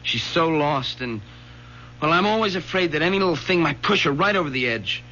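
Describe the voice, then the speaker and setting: A nervous, earnest teenage son. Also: male voice, a little high, lively intonation, fairly quick, bright, forceful tone. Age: teens. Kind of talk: 1970s radio drama acting. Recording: dull vintage radio recording with faint hum.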